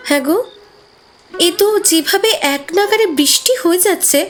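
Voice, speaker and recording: A woman's voice: very high voice, normal speed, over music. A woman voicing a worried, caring village wife in a cartoon, plaintive. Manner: plaintive, concerned. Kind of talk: cartoon voice acting.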